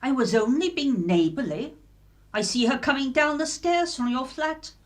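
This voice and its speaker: A woman's voice: sits high, lively intonation, normal speed. An actress playing a nosy, gossipy woman neighbour in a radio drama, with a snide, prying manner. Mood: defensive.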